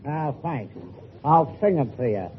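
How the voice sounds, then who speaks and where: A man playing a goofy, cheeky messenger pest in a halting 1940s radio comedy style. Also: a little high, animated, lo-fi sound. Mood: goofy, sly. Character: goofy, cheeky male pest delivering bogus telegrams. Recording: thin, hissy 1940s radio recording.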